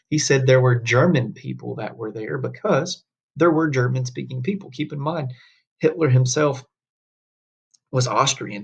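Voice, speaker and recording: A man's voice: mid-range voice, gravelly, breathy edge. A man teaching a history class in a folksy, conversational way, asking rhetorical questions as he tells stories. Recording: dull lo-fi classroom recording.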